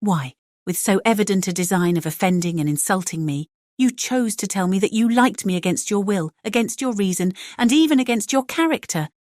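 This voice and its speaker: A woman playing a proud young gentlewoman in a dramatized audiobook, formal, measured and cuttingly indignant. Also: medium pitch, lively intonation, no echo, clean studio sound, close to an everyday voice.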